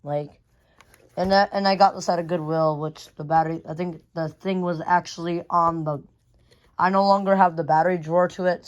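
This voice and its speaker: Woman with a lowish voice showing off her collection, super casual, kinda rambly and repeats herself. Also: darkish tone, lo-fi sound. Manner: rambling, often repeating phrases. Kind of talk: casual unscripted show-and-tell.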